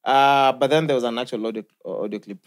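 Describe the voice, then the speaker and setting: A man's voice: medium pitch, quick pace. A young man with a Kenyan accent, co-hosting a casual podcast chat in a studio lounge, leading the talk with jokes. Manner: lively, joking, leads the talk. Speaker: podcast co-host. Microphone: studio mic on a boom arm.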